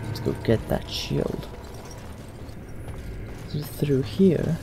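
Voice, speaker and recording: A man with a fairly high, creaky voice plays a role-playing game and comments as he goes. He is low-key and very slow, with game music clearly behind him. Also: huge pitch swings. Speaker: let's play gamer. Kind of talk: role-play game commentary, murmured in character.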